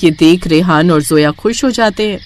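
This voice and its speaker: A woman's voice: lowish voice, normal speed, darkish tone. A woman narrator reading a scripted moral story for children.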